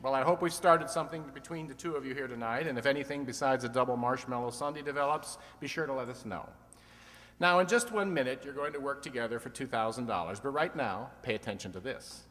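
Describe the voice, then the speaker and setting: A man's voice: medium pitch, fast talker, a bit bright, lightly acted. Man playing a wisecracking quiz host, echoey hall. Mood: wry, teasing. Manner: wry, quipping. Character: wisecracking, teasing male quiz show host. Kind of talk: 1940s-50s comedy radio quiz re-enactment. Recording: echoey hall, long reverb.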